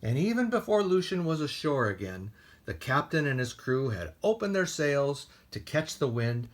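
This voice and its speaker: Animated man reading a children's story. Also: medium pitch, moderate pace. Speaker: library storytime host and reader. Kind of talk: expressive read-aloud storytelling for children.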